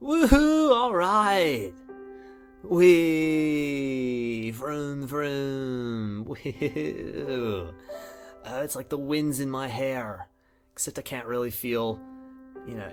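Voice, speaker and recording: A man's voice: mid-range voice, animated, slow pace, soft background music. A man playing a goofy, easygoing young friend in a visual novel game, talking playfully and casually. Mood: giddy, excited. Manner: playful, casual bro-speak.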